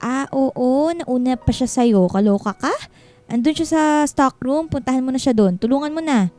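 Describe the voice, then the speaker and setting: High-voiced, bossy Filipino best friend. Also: female voice, lively intonation, moderate pace, mellow. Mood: brisk, caring. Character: bossy, teasing female friend, chatty team organizer and matchmaker. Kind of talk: Filipino radio drama acting.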